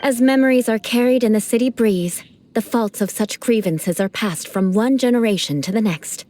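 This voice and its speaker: A woman's voice: a little high, normal speed, warm tone, soft background music, lightly acted. An actress playing a proud young female knight of an aristocratic clan, who speaks in a formal, aristocratic manner. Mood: composed.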